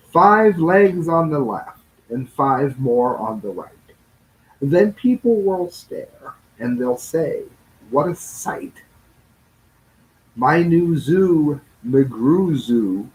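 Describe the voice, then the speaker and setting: Slow-paced man reading a rhyming children's storybook. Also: medium pitch, darkish tone, low-quality recording. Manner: stumbles and corrects himself while reading. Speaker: storybook reader. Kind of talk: read-aloud children's storybook in rhyme.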